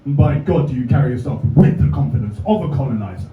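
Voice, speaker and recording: A man's voice: mid-range voice, animated, fast, soft background music. A young English man doing a stand-up set with dry, self-mocking asides, recorded from a distance in a comedy club. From England. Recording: roomy, distant, slightly dull club recording. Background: audience laughter.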